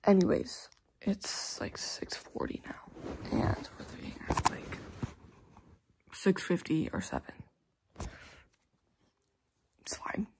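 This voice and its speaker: A teenage girl filming a casual vlog on her phone, talking to viewers in a hushed morning voice and later out in the snow. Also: on the low side, slow pace, gravelly, long pauses. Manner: whispery early-morning talk, bubbly and excited outdoors. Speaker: vlogger. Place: at home, then outdoors in snow. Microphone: handheld phone.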